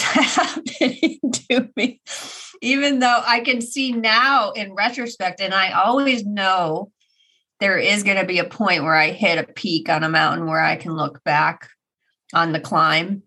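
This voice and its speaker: A woman in her forties co-hosting a podcast, her voice a little raspy after an illness, chatting in an animated way and laughing often. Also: medium pitch. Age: 40s. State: slightly raspy from recent illness. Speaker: podcast co-host. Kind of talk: casual chat.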